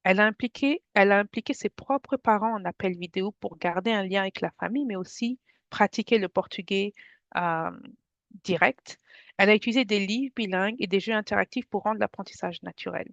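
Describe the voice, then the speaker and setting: A woman's voice: medium pitch, brisk, a bit bright, no room sound. A woman from France leading an online workshop for parents, speaking in a friendly, conversational way and addressing the audience directly. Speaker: workshop presenter. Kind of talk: informal webinar presentation to parents. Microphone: video call. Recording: dull, dry video-call audio.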